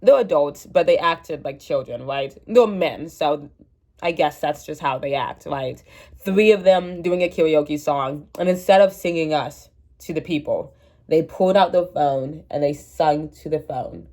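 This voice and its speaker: A woman's voice: low-pitched, measured pace, mellow. A young woman in her twenties with a stuffy, congested voice, chatting candidly and rambling to her phone camera, laughing at herself. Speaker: vlogger. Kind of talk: casual confessional chat to camera.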